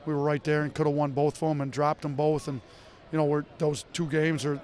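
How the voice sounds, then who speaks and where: A man in his thirties, a head basketball coach, answering post-game questions courtside in a steady, filler-heavy way into a handheld mic. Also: on the high side, a little fast. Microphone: reporter's handheld mic.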